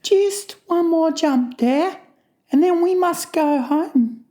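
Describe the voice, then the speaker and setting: A prim mother kangaroo, put on by a man, pitched very high and noticeably louder. She is polite, brisk and motherly, a children's storybook character. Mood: motherly, firm. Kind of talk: dramatized storybook audiobook.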